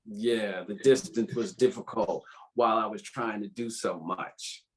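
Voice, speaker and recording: A man's voice: on the low side, steady tone, measured pace, natural voice, low-quality recording. A man playing a laid-back, bantering old lover in a drama script read, with an American accent and casual, slangy speech. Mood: defensive, bantering. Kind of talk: informal screenplay table read.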